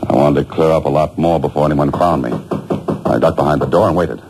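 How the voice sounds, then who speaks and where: A man's voice: very deep voice, a little fast. An actor playing a dry, wisecracking adventurer who narrates his own story in an old radio drama. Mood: narrating. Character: wry hard-boiled male adventurer, narrator. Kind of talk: 1940s radio acting. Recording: old radio broadcast with music underneath.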